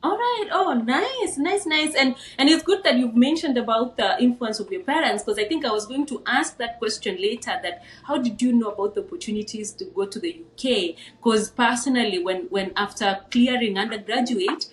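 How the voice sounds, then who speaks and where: A woman's voice: a little high, normal speed, hardly any pauses. A Kenyan-accented woman interviewing a guest on a podcast, hesitant with many fillers before her questions. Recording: roomy echo.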